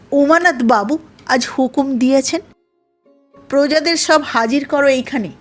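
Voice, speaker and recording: High-pitched, slow-paced woman storyteller. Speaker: audiobook narrator. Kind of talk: scripted literary story narration.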